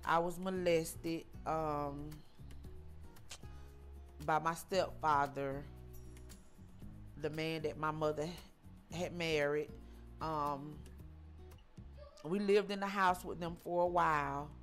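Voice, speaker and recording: A woman, from Georgia, telling her life story in an interview, halting and repeating herself with frequent ums. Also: low voice, fairly even intonation, faint music behind. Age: 40s. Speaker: interview guest.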